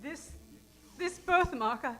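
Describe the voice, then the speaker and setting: A woman's voice: high-pitched, lively intonation, slow pace, fairly bright tone, heavily acted. A woman playing a flustered damsel client in an improvised old-time radio detective parody, hesitant, breathless and melodramatic.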